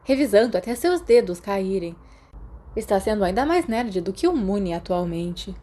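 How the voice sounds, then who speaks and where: A woman with a Brazilian accent voicing a nervous, excitable teenage schoolboy in a storybook reading. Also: mid-range voice, moderate pace, lightly acted. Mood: eager. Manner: stammering, excitable.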